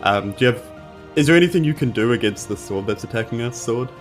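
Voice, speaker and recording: A man's voice: medium pitch, expressive, fast, soft background music. A man with a New Zealand accent chatting casually as a player at a tabletop game.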